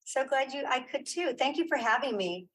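A woman's voice: high-pitched, animated, normal speed, fairly bright tone, breathy edge. A woman chatting informally as an interview guest, emphatic and encouraging, repeating phrases to make her point. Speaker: interview guest, podcaster and audio drama creator. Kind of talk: casual interview chat.

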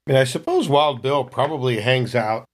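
A man's voice: medium pitch, moderate pace. A man improvising casual narration for his character as a tabletop roleplaying player.

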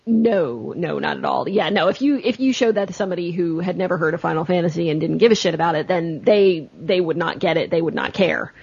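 Raspy girl on a podcast, casual and blunt, slightly muffled audio. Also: on the low side, a little fast, few pauses, a little mellow, dry recording. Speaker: podcast panelist. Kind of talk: casual discussion.

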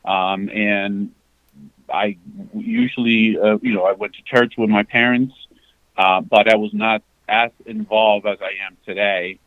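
A man in his forties from the Dominican Republic, a guest talking haltingly with many fillers and restarts. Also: on the low side, fairly even intonation, dry recording. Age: 40s. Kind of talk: candid conversational interview. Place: parked outside a sports venue. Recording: dull lo-fi remote call.

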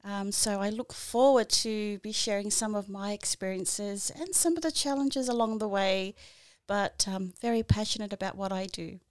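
Australian woman, 40s, podcast guest; halting, filler-heavy delivery, dry close sound. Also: medium pitch, no echo. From New Zealand. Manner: halting, many fillers and restarts. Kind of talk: casual interview answers.

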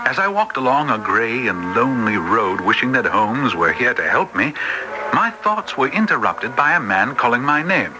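A man playing an earnest, loyal doctor sidekick in a radio drama, telling his adventure in a measured, formal way. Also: mid-range voice, very animated, over music, lightly acted, rough recording. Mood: grave, uneasy. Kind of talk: first-person radio drama narration.

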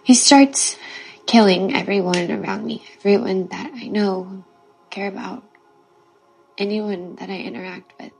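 An actress playing a frightened woman haunted by nightmares, confiding haltingly in horror film dialogue. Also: medium pitch, gravelly, over music, low-quality recording. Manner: halting, repeats words.

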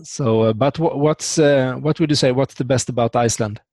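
A man's voice: mid-range voice, brisk, darkish tone, no room sound. Male podcast host, dry close sound. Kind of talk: interview, casual chat.

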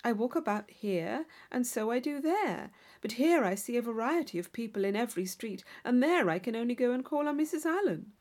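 A woman voicing a naive, eager young country gentlewoman in a classic novel audiobook, earnest and enthusiastic. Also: fairly high pitch, lively intonation, fairly quick, few pauses, lightly acted. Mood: frank, earnest.

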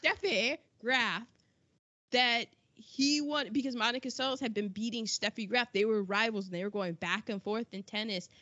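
A woman's voice: on the high side, normal speed, bright, forceful tone, no room sound. A chatty woman in her forties co-hosts a podcast, reading through past events with quips and playful acted quotes. Her voice sits dry and close. The recording is slightly dull. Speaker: podcast co-host. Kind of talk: casual chat reading out history notes.

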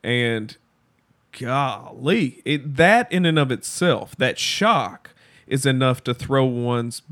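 A man's voice: mid-range voice, lively intonation, slow, a bit bright. A man in his thirties on a podcast, talking casually, bluntly and reflectively, and swearing freely.

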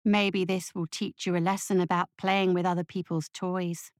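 A woman's voice: on the low side, flat delivery, normal speed, no room sound, clean studio sound, voice pushed down, natural voice. A woman voicing a sly, accusing, mischievous ghost boy in a horror story. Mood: coldly menacing.

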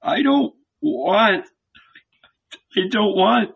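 Guy doing a desperate hermit, voice climbing high and shouting, kinda muffled and dull. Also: animated, drawn-out words, gravelly, slightly rough, heavily acted. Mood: weeping. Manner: pleading, rising to tearful cries. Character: anguished male hermit crying out to God.

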